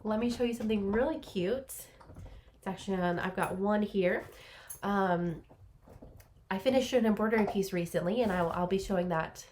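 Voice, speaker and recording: A woman's voice: medium pitch, normal speed, darkish tone, a little hiss or hum. Chatty congested woman on a laptop mic. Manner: chatty, rambling, many ums and restarts. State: congested from allergies. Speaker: craft podcast host. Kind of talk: casual solo chat to camera. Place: small home office. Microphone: built-in laptop mic.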